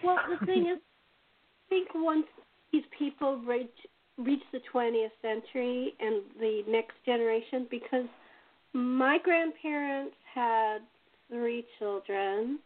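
Higher-voiced woman on a phone-like line. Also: slow pace. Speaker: host. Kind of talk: casual group conversation. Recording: thin phone-like call audio.

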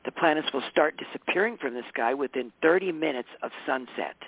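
A man with a tired, hoarse voice, a regular guest on a casual talk show, chatting with warmth, laughing often and correcting himself as he goes. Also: mid-range voice, expressive, a little fast, no echo. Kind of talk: casual radio show chat. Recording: dry, phone-line sound.

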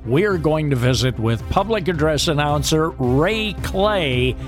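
Measured male sports radio host. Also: on the high side, expressive, soft background music. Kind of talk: radio sports talk with scripted intros.

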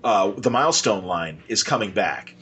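A man's voice: on the low side, expressive, moderate pace, fairly bright tone, slight background noise. A man hosting a podcast interview, chatting casually and agreeably in loose, informal phrasing. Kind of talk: casual conversation about comics.

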